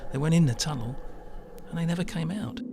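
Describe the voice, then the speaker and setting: An actor playing a disillusioned railway worker and musician of nearly fifty in a comic drama, gravelly and colloquial. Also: male voice, a little high, fairly quick, noisy background, natural voice. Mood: hushed, intrigued. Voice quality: creaky, gravelly. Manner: colloquial, world-weary, swears casually. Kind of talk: dramatic dialogue in an audio-led motion comic.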